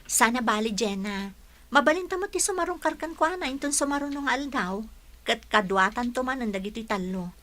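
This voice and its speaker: An actress playing a caring, organizing schoolteacher in a radio drama. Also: female voice, on the high side, expressive, fairly quick, a bit bright. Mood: caring, instructing. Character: caring, organizing woman schoolteacher talking to students.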